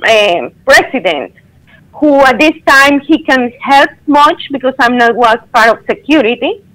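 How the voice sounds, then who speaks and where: A woman, a caller telling her story on a radio show in earnest, halting English over a phone line. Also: a little high, a bit bright.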